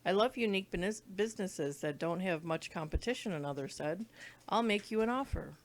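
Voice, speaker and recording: A woman's voice: fairly low pitch, animated, a little fast. A woman reading a children's book aloud, now and then stumbling over words and rereading them. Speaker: read-along reader.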